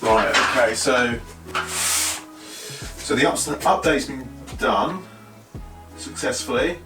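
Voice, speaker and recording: A British man presents an informal tech review in a mid-pitched voice. He thinks aloud in short, halting phrases. The sound is echoey and slightly distant, with music in the background. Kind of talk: casual unscripted review talk. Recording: roomy echo, distant mic, faint music.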